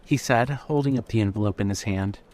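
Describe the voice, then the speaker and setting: A man's voice: lowish voice, fairly quick, a little mellow. A man giving scripted narration of a fiction story.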